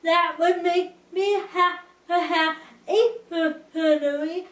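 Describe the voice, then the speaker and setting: Young woman vlogger, very slow deliberate delivery, roomy distant sound. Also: very high voice, steady tone, fairly bright tone. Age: young adult. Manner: slow, careful speech with restarts. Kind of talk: casual talk to camera.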